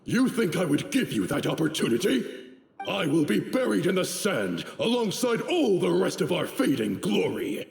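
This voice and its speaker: Grandiose, echoey fanatical desert commander. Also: male voice, high voice, big pitch swings, moderate pace, gravelly, heavily acted. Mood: defiant. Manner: grandiose, declamatory. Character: proud, fanatical fallen desert mercenary commander, defiant to the end. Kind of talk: scripted video game acting. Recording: echoey hall reverb, game music behind.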